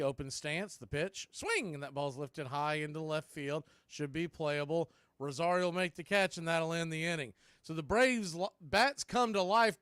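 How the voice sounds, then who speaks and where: A man calling live baseball play-by-play, as the show's announcer, in a steady, measured delivery that tracks each pitch. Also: no room sound.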